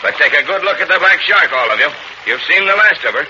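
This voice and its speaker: An actor playing a calm, commanding American uncle and skipper, assured and instructive, in a 1940s radio serial. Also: male voice, fairly high pitch, fast, close to an everyday voice. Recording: muffled, noisy vintage radio recording.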